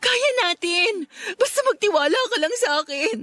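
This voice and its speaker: A woman's voice: very high voice, animated, moderate pace, sharp tone, exaggerated voice. An actress playing a lovestruck, desperate young woman in a radio drama, pleading tearfully with a Filipino accent. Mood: earnest pleading. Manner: pleading, emotional, insistent. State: tearful, crying.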